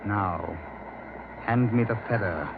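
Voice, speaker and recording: An actor playing the menacing ghost of a murdered man in an old radio horror drama, slow, deliberate and mocking. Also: lowish voice, gravelly. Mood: mocking menace. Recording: muffled, noisy old radio recording.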